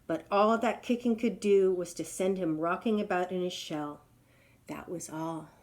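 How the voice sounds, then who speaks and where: A woman's voice: on the low side, normal speed, darkish tone. A woman storyteller reading a children's tale aloud in a dramatic, emphatic way.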